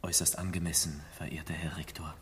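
An actor playing a timid, easily shocked professor who toadies obsequiously in a satirical radio play. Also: male voice, very deep voice, little pitch movement, fast talker, noisy background. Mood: flattering, soothing. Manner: obsequious, theatrical. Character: fawning male professor, timid, easily shocked, toadying to his superior. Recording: reverberant hall, music behind.

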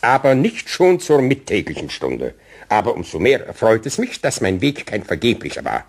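Fussy, self-important gentleman customer, stilted and long-winded, hugely varied intonation, children's radio drama. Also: on the low side, sing-song, normal speed. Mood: formal, self-important. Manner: stilted, circumlocutory, pompous. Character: fussy, pompous, demanding male customer. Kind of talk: German children's radio play.

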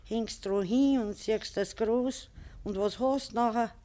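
An actress playing a bitter, scolding older farmer's wife with a Bavarian accent, lamenting and nagging in a 1950s dialect radio play. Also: female voice, fairly high pitch, slow pace, dark tone. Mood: sorrowful, bitter.

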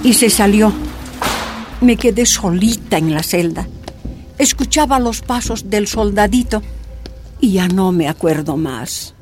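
Woman acting a slow, sorrowful survivor. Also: mid-range voice, expressive, a little mellow, soft background music, close to an everyday voice. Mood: weary, sad. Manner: plaintive, tender storytelling. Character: wounded woman sorrowfully recounting her prison ordeal. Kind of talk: first-person radio drama narration.

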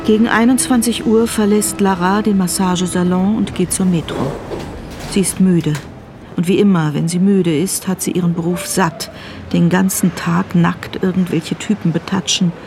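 An actress playing the matter-of-fact narrator of a crime radio drama, reading scripted prose. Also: female voice, lowish voice, fairly even intonation, normal speed, a little mellow, natural voice. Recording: clear ambient background noise.

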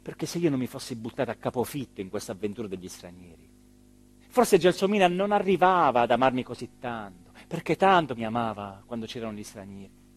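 An actor playing a rueful, self-important small-town mayor in a rambling, hesitant theatrical monologue. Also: male voice, medium pitch, animated, close to an everyday voice. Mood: reflective, defiant. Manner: rambling, hesitant, emphatic, with repeated phrases and pauses. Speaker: stage actor performing a radio theatre monologue.

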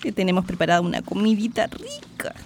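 A woman's voice: mid-range voice, huge pitch swings, brisk, creaky. A woman playing an anxious, bossy but affectionate Chilean mother in a radio drama. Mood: tender. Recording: noisy, clattering dishes and clicks.

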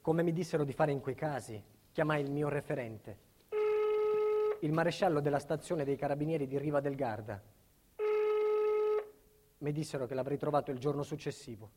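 A man's voice: on the high side, noisy background, close to an everyday voice. A male actor playing a middle-aged family man under state protection, insistent and emphatic, in a dramatic stage monologue. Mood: grave narration. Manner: emphatic, insistent, repeats himself.

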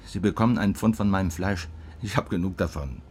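A man's voice: sits low, fairly quick, a little mellow, slight background noise, lightly acted. An actor playing an earnest, stubborn man with plain, persistent speech in a naturalistic radio drama. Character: earnest, stubborn, low-voiced man pleading for a loan.

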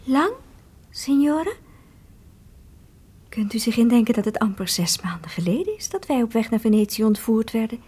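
An actress playing a devoted, lovestruck young maidservant in a theatrical radio play, trusting and eager, with an expressive storytelling lilt. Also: female voice, fairly high pitch, moderate pace, pauses often, slightly rough. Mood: concerned.

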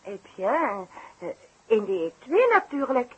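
An actress playing an excitable, outspoken circus assistant who peppers her lively talk with French interjections in a 1960s radio play. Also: a little high, unhurried, husky, muffled recording, exaggerated voice. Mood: explaining.

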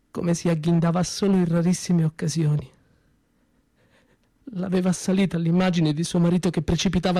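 A man performing a theatrical narrative monologue with expressive, deliberate phrasing and pauses. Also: fairly high pitch. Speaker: storyteller-actor.

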